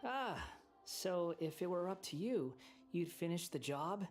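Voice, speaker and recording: A man's voice: high-pitched, sing-song, measured pace, soft background music. An actor voicing a cynical young man, a fallen former enforcer, who speaks in a cool, mocking way. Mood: sardonic. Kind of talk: video game voice acting.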